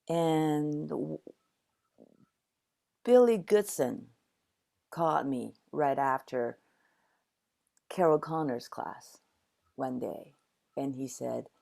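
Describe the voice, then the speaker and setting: Older woman from Taiwan, very low voice, reflective storyteller. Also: creaky, a little mellow. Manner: reflective storytelling with frequent restarts. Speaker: guest, dancer and actor. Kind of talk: conversational interview.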